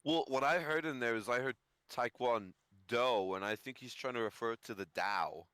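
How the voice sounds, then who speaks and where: A man's voice: mid-range voice, normal speed, fairly bright tone, clear, resonant tone, dry recording. A young man co-hosting a casual podcast, teasing a friend in a playful, mock-formal interviewer manner. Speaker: podcast co-host. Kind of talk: joking casual podcast chat.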